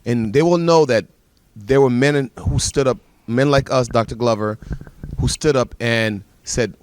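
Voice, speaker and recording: A man's voice: mid-range voice, a little slow, slight background noise. A man born in the Bahamas, speaking as a pastor and guest on a TV panel, telling stories earnestly with emphatic repetition. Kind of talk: TV panel discussion.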